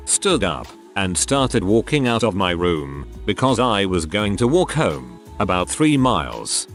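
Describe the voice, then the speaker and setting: A man narrating Reddit stories in a steady scripted read. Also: on the low side. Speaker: narrator reading Reddit posts. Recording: faint music behind the voice. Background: soft background music.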